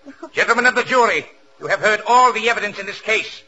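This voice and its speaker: A stern old-time radio judge with a very sharp, forceful, high-pitched voice. He declaims pompously and swings widely in pitch, on a noisy vintage comedy broadcast. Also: lively intonation, fast, highs cut off, full character voice. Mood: stern, commanding. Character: stern, biased courtroom judge, a man. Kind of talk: 1940s radio comedy acting.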